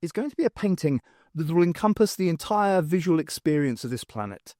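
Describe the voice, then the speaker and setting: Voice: mid-range voice, lively intonation, a little fast, darkish tone, dry recording, clean studio sound, close to an everyday voice. A narrator voicing an earnest, self-important male painter with a grandiose manner in an audiobook. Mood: proud.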